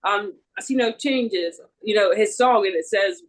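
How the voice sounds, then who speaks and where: A woman's voice: mid-range voice, a little slow. A woman chatting casually, with blunt, informal and scattered phrasing. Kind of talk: casual conversational chat.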